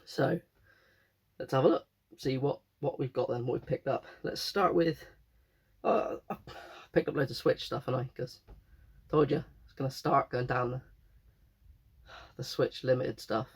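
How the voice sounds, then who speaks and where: A man's voice: medium pitch, normal speed, creaky, long pauses, husky. A young man with a cold, chatting casually and rambling about his latest finds, often correcting himself. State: croaky with a cold. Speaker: game collector vlogger. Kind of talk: casual chat.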